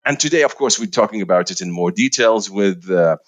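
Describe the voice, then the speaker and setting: A middle-aged man from Iraq with a fairly low voice. He answers as a guest researcher, pausing often on fillers and restarting phrases. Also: animated. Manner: hesitant, many uh fillers and restarts. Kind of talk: interview answers.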